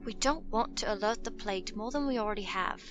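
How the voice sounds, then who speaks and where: A woman's voice: medium pitch, brisk, dull audio, music in the background, lightly acted. Woman playing a caring, practical dog-woman companion. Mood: hushed scolding. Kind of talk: dramatised fantasy audio story.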